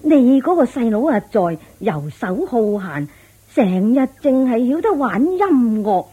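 A woman playing a blunt, doubtful older village matchmaker in a radio drama, sighing and lecturing in drawn-out Hong Kong Cantonese phrases. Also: fairly high pitch, big pitch swings, slightly rough, full character voice. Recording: dull lo-fi radio drama with music.

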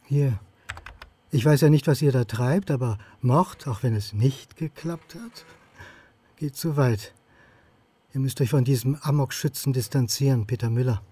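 A man playing a beleaguered, stubborn university historian in a radio crime drama, earnest and halting. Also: mid-range voice, dark tone, slightly rough. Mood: bitter. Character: beleaguered male historian and university lecturer, indignant, doubting, stubborn. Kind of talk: German radio crime drama acting, dialogue and inner monologue.

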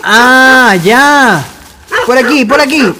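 A man's voice: extremely high pitch, takes it slowly, noisy background. A man playing a folksy school caretaker with a Chilean accent in an educational radio drama. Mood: helpful, chatty. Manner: colloquial, folksy. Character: wary then helpful male school caretaker, folksy.

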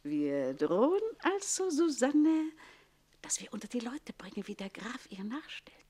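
A scheming middle-aged governess in a radio comedy. Her voice swings widely in pitch as she delivers mock-polite barbs with biting emphasis. She is jealous, sharp-tongued and theatrical. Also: very animated, unhurried, husky, full character voice. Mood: conspiratorial.

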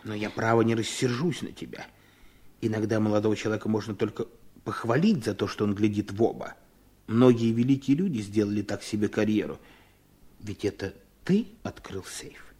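A man's voice: fairly low pitch, moderate pace, a little mellow, husky. An actor playing a scheming, coaxing middle-aged father in a 1970s Soviet radio drama. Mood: coaxing, probing. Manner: insinuating, coaxing.

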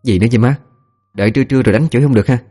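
Guy doing a bratty grumpy young woman, raised pitch, whiny southern vietnamese, mean, audio story. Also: lively intonation, quick pace, a little mellow, faint music behind, natural voice. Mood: annoyed. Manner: whiny, snappish. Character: grumpy, spiteful young woman, spoiled daughter.

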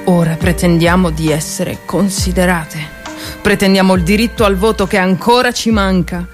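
A woman's voice: on the low side, fairly even intonation, normal speed. Woman in solemn theatrical delivery, with music. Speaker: stage actress.

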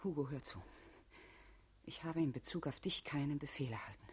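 A stern woman of the underground party in a radio play. Her voice is husky. She speaks curtly and with authority, guarded and torn in her loyalty. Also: low voice, lively intonation, moderate pace, a little mellow, heavily acted. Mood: stern, resolute. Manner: curt, commanding. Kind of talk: German radio drama acting.

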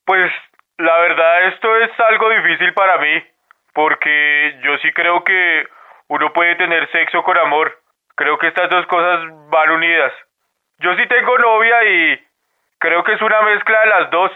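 A man's voice: fairly high pitch, steady tone, measured pace, clean tone, close to an everyday voice. A man playing a sensitive, romantic young guy with a Colombian accent, earnest and colloquial, in a radio drama. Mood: hesitant, uneasy. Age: young adult. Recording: narrow, phone-like lo-fi sound.

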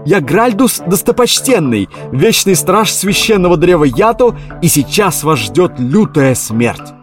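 A drawn-out, haughty giant worm guardian, voiced by a man who speaks in a raised voice that leaps up and down. He stays lofty and slow, but he is quick to fluster. Faint music plays underneath. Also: high voice, sing-song, voice pushed up. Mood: pompous. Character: huge pompous male worm guardian.

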